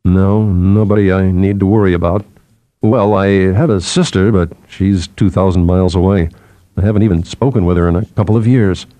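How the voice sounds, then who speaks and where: A man reads as a weary newspaperman in a storybook tale. He is stubborn and a little defensive, with a dark, soft-edged tone. The recording is close and dry. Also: low-pitched, fairly quick, dry recording, voice pushed down, close to an everyday voice. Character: proud, weary male newspaperman, uneasy yet stubborn.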